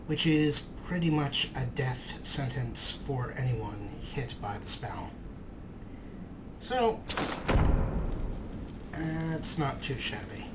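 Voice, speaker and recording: A man hosting a let's play with casual gameplay commentary. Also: mid-range voice. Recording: clear background noise from game.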